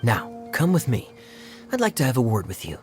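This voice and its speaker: A man's voice: mid-range voice, huge pitch swings, moderate pace, over music. A man playing a firm, authoritative man who keeps younger people in line, in a video game. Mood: stern.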